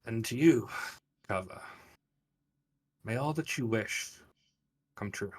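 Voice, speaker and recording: A man voicing a benevolent old elven god, a courtly gentleman with a formal, measured and gracious way of speaking, in a tabletop fantasy game. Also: mid-range voice, lively intonation, breathy edge. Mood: solemn, benevolent.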